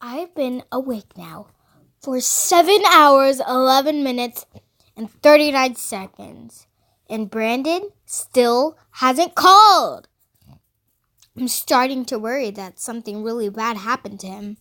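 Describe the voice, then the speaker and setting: A woman's voice: high voice, animated, slow, a bit bright, full character voice. A woman voicing an anxious young teenage girl who reads her diary in a melodramatic, emphatic audiobook style. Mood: worried. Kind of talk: first-person audiobook diary narration.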